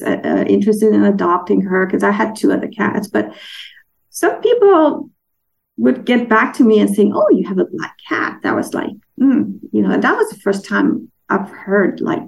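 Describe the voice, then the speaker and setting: Gravelly-edged woman, slightly off-mic. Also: medium pitch, recorded from a distance, low-quality recording. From Denmark. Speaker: interview guest, children's book author. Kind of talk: casual anecdotal storytelling in an interview.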